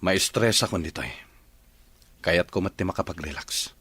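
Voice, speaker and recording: A man's voice: low voice, normal speed, a bit bright, breathy edge, no echo, heavily acted. An actor with an Ilocano accent playing a stressed grown son in a radio drama who insists and pleads with his mother. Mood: insistent.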